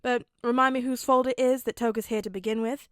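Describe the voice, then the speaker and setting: A woman's voice: fairly high pitch, fairly even intonation, brisk, no echo, clean studio sound, lightly acted. A woman voicing an easygoing male weapons dealer with a wry, casual way of talking. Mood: wry, businesslike.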